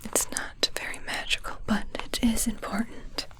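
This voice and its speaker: A woman voicing a coolly menacing, condescending witch shopkeeper in an ASMR roleplay, in a soft breathy whisper with deliberate, halting phrases. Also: natural voice. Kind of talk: ASMR roleplay, scripted monologue.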